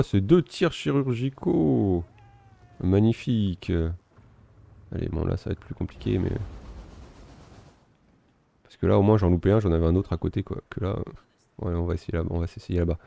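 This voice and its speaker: A man giving relaxed, self-mocking commentary while playing a strategy game, thinking aloud. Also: sits low, gravelly. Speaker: gaming video host. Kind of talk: casual let's-play commentary.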